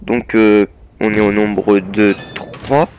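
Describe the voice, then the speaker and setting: A man's voice: fairly low pitch, flat delivery, slow pace, a little mellow, a little hiss or hum. A young man with a slight cold, giving a hesitant, filler-heavy voice-over that presents his gaming team. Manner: hesitant, many fillers and restarts. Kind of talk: casual voice-over over gameplay.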